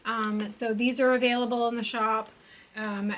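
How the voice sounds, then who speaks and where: A woman's voice: on the high side, flat delivery, normal speed, fairly bright tone, a little hiss or hum. A woman co-hosting a casual knitting shop podcast, upbeat and chatty as she thanks a guest and plugs kits. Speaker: shop owner and podcast co-host.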